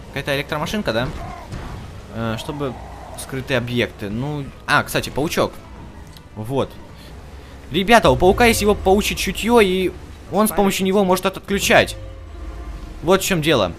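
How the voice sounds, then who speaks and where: A man with a fairly high voice hosts a let's-play, commenting casually as he goes. He thinks aloud and chats to the game's characters. Game music plays clearly behind him. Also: expressive, normal speed, long pauses. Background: video game music.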